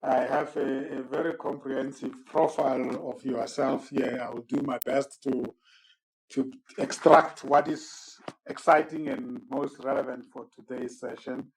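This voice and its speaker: A man hosting a formal podcast interview. He is courteous and measured, often repeating words as he introduces his guest. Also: medium pitch, fairly even intonation, low-quality recording. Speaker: host and moderator.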